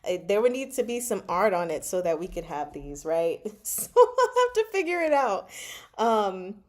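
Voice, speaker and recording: A woman hosting a casual book discussion, chatty and expressive, often pausing mid-thought. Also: medium pitch. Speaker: book discussion vlog host. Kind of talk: casual live book chat.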